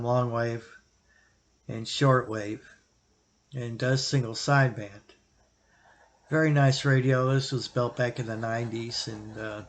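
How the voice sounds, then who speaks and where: Man, medium pitch, casual explainer, plain-spoken, unscripted, halting pauses, hobby video host. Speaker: radio hobbyist host answering viewer question. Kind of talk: casual explanatory product talk.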